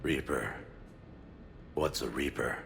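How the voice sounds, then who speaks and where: An actor playing a gruff, dazed colony miner in a video game, with a gravelly voice and a slow, bewildered delivery. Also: male voice, a bit bright, a little hiss or hum, exaggerated voice. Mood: puzzled. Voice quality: gravelly, very low.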